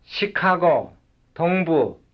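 A man reading short cue words for a language substitution drill, one at a time in a slow, measured way. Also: on the high side, big pitch swings. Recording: dull lo-fi recording.

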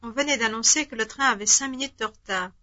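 A woman giving the model answers in a language drill, measured and carefully articulated. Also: a little high, bright, forceful tone. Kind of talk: reading drill responses. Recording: dull lo-fi tape recording.

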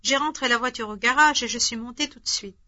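A woman giving the model answers in a language drill, measured and carefully articulated. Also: fairly high pitch, sharp tone. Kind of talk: reading drill responses. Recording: dull lo-fi tape recording.

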